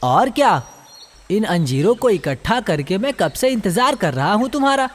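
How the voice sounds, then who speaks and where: A performer plays a young male monkey in a children's cartoon, trusting and friendly. The voice sits at an in-between pitch and bounces up and down with lots of animation, with music underneath. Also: very animated, normal speed. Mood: impatient. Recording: music behind the voice.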